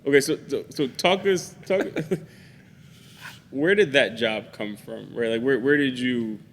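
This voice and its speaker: A man hosting an interview podcast, upbeat and quick to laugh, sometimes stumbling as he frames his questions. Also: mid-range voice, slight background noise. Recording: roomy reverb.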